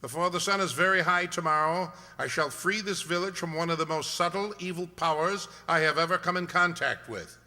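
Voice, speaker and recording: A man playing a shrewd, commanding gentleman detective in an incisive, theatrical radio-play style. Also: fairly high pitch, fairly quick, hardly any pauses. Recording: echoey hall.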